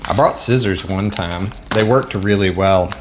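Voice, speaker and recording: A man's voice: low voice, unhurried, background noise. A man with a Southern US accent, chatting casually and rambling with plenty of ums while reviewing food to camera. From Southern United States. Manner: rambling, chatty, self-deprecating, frequent um and uh. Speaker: MRE taste-test vlogger. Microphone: mic set up at a table.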